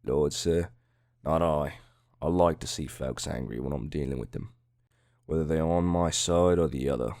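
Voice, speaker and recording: Man playing low, haughty, cool-headed police detective. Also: darkish tone, no room sound, clean studio sound. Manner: measured, haughty, blunt. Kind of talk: dramatic audiobook reading.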